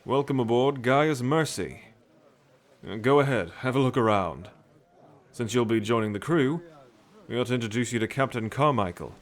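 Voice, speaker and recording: A man's voice: medium pitch, moderate pace, close to an everyday voice. A man playing an educated, kindly, rational sailor with articulate, bookish phrasing in a nautical fantasy audio drama. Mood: welcoming. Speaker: ship crewman.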